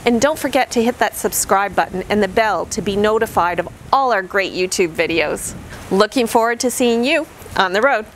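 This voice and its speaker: A middle-aged woman reading a scripted list of camping tips to camera, in an upbeat, clearly enunciated presenter manner, standing outdoors by a camper trailer. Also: mid-range voice, expressive, moderate pace, a little hiss or hum, poor audio. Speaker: vlogger.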